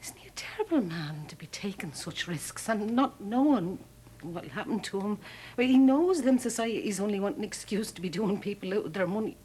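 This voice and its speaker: A woman's voice: mid-range voice, quick pace, few pauses, dark tone, breathy edge, lightly acted. An actress playing an Irish wife who gossips about her drunken husband and coaxes him. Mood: fretting. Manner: gossipy, coaxing, fussing.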